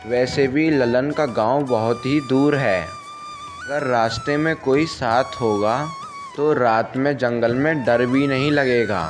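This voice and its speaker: Male narrator as kind village farmer, earnest, unhurried, mid-pitched, cartoon folk tale, music underneath. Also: wildly swooping pitch. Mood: friendly, musing. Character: kind, sentimental village farmer, adult man. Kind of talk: animated moral story dialogue. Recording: music behind the voice.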